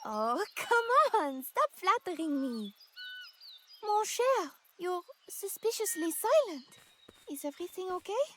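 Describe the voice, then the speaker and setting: A very high, swooping voice for a young French girlfriend played by a woman. She is playful and fond, exclaiming with French endearments in video game drama style, over some background noise. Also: big pitch swings, slow pace, full character voice. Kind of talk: dramatic game cutscene acting.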